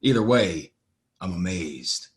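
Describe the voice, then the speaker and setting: A man plays a steely, unflappable stranger in a western radio play. His voice is on the low side, terse and dry, with a mocking edge. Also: expressive, takes it slowly. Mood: cool, challenging. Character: calm, steely, mysterious stranger in an Old West saloon. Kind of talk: audio play acting, western.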